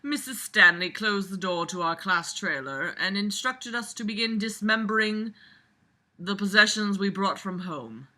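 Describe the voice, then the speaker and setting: Bright, sharp-voiced sarcastic woman. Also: medium pitch, expressive, unhurried. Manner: sarcastic, exasperated, foul-mouthed. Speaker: amateur reader and commentator with a regular audience. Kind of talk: casual mocking read-aloud with running commentary.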